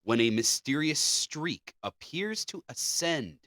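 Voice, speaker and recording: A man's voice: on the high side, big pitch swings, slow, fairly bright tone, dry recording, studio quality. A man co-hosting a podcast, chatting casually and reading out stories. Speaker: podcast co-host.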